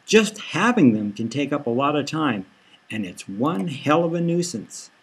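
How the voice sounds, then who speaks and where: Folksy male hustler, done by a male narrator: husky, casual, boastful and chummy, for audiobook fiction. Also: on the high side, sing-song, measured pace, full character voice.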